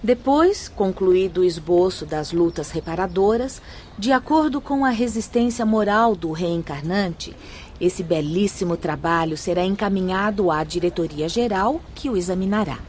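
An actress playing a wise, gentle spirit teacher in a Brazilian radio drama, speaking formally and with measured, didactic care. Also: female voice, on the low side, a little hiss or hum, close to an everyday voice. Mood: emphatic, explaining. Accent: Brazilian Portuguese. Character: wise, gentle woman spirit instructor explaining afterlife procedures.

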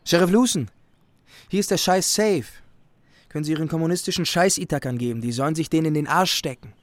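A man's voice: on the high side, fairly quick, dry recording, lightly acted. A man playing a brash, foul-mouthed young handyman in a German radio drama, mocking and defiant as he voices his letter. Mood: mocking, insolent.

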